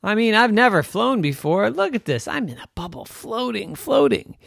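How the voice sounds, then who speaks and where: A fussy, stubborn little girl from a made-up bedtime story speaks in a high, squeaky, whiny voice, as a man puts her on. Her voice leaps up and down with animated swings. It sounds dry and close. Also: normal speed, gravelly, no echo, full character voice. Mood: excited.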